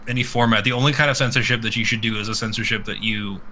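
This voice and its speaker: Bright, forceful man podcasting bluntly. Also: on the low side, steady tone, rapid-fire, slight background noise. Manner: profane, blunt, rambling. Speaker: podcast host. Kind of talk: casual podcast chat. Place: live multistreamed podcast.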